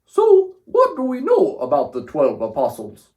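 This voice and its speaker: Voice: mid-range voice, huge pitch swings, unhurried, a little mellow, slight background noise. A performer voicing an inquisitive, slightly prim female puppet co-host who asks questions and reacts with exaggeration in a scripted children's show. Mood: curious.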